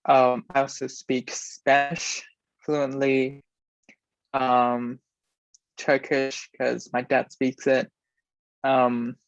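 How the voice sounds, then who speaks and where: A man's voice: medium pitch, monotone, drawn-out words, pauses often, no echo. A teenage boy from Hong Kong chatting casually as a guest on a student podcast. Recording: dry, muffled recording.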